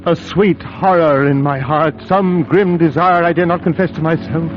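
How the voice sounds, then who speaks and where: An actor playing an earnest young London solicitor, formal and hesitant, in a 1940s radio drama. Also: male voice, a little high, steady tone, moderate pace, soft background music, lightly acted. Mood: haunted. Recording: dull vintage 1940s radio broadcast.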